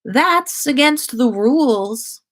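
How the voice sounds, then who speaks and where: A woman's voice: high-pitched, animated, takes it slowly, fairly bright tone, pitched above the performer's own voice, exaggerated voice. A woman playing a good-humored, scolding husband and father in a story reading, speaking emphatically in a raised voice. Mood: teasing reproach.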